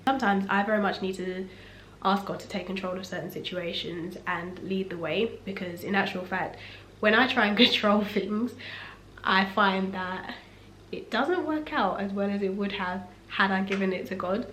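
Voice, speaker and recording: Husky young woman vlogging. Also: mid-range voice, moderate pace, slight background noise. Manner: relaxed, reflective and faith-focused. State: husky from a cough. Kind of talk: casual sit-down talk. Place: room at home. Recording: echoey room, faint ambience.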